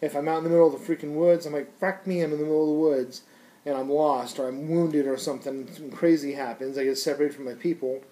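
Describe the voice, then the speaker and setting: A man's voice: a little high, steady tone, fast, mellow, rough recording. A man giving a casual, unscripted product review in a rambling, conversational, slangy way.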